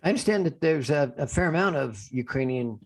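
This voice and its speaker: A man hosting a radio interview, asking questions in a conversational, slightly halting way. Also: a little high, expressive.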